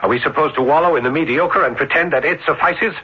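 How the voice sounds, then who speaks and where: A man's voice: a little high, quick pace, slightly rough. A man playing a lonely, nervous young idealist scholar in old-time radio drama, stammering and agitated. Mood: impassioned. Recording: muffled, noisy old radio broadcast.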